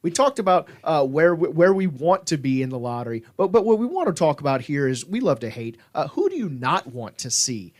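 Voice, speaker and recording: A man hosting a casual sports podcast, animated and repeating words as he steers the talk. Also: on the high side, a little fast, hardly any pauses. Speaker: podcast host.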